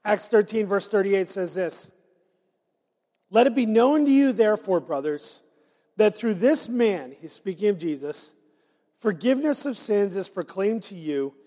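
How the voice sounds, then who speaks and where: A man preaching a sermon as pastor, his voice raspy and hoarse from a raw throat, talking conversationally with frequent fillers. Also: high voice, expressive, measured pace, dark tone. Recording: long hall reverb.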